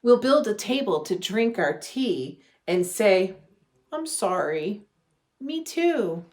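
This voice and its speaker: A woman in her thirties reading a children's storybook aloud with gentle, deliberate phrasing. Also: medium pitch, very animated. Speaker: religious education director reading a children's story. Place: living room at home.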